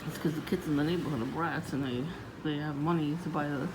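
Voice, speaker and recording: A woman vlogging casually about her day in a low voice, meandering with many fillers and restarts. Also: steady tone, fast talker. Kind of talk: casual rambling vlog. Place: outdoors, windy. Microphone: phone. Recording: noisy phone recording.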